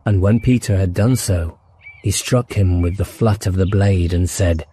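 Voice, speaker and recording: A man's voice: sits low, fairly even intonation, a little slow, warm tone, slight background noise. A man reading a children's fantasy audiobook as narrator, in a dramatic storytelling style.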